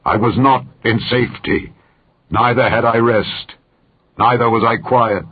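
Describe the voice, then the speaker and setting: Man reading as a husky anguished mourner, tinny sound. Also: medium pitch, steady tone, measured pace, voice pushed up. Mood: pleading, anguished. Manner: solemn, declamatory, mournful. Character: anguished, grieving man lamenting his own life. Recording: tinny, phone-like narrow-band recording.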